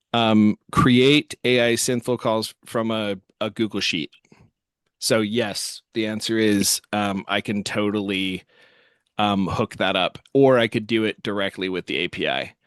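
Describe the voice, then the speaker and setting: A man giving a casual software walkthrough as host, thinking aloud as he works. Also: lowish voice, clean tone, dry recording, clean studio sound. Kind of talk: casual screen-share walkthrough.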